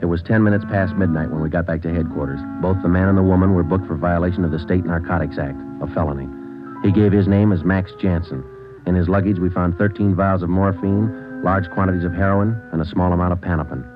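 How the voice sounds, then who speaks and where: Terse, deadpan American cop, played by a man, fast delivery, muffled vintage radio sound with music. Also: low voice, lightly acted. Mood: deadpan narrating. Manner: deadpan, matter-of-fact. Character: no-nonsense male police sergeant, clipped and stern. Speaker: detective and narrator. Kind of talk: 1950s radio police drama acting, terse narration.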